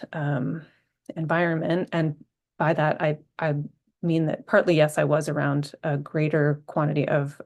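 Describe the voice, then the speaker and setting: Panelist, woman from Vermont, heavy vocal fry, thoughtful and hesitant delivery with many pauses. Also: low voice, a little mellow. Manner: reflective, halting with many ums and restarts. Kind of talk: personal account on a panel.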